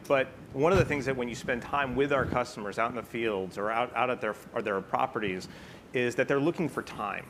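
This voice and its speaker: A man talks as a business panelist. His voice is of average pitch and he explains his points conversationally. A faint layer of event noise lies underneath. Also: fairly quick. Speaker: conference panelist, retail executive.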